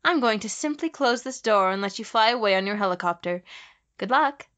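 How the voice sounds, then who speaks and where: An actress playing a taunting, menacing woman who toys with her prey, calm and cryptic, in an audio drama. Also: mid-range voice, a little fast, close to an everyday voice.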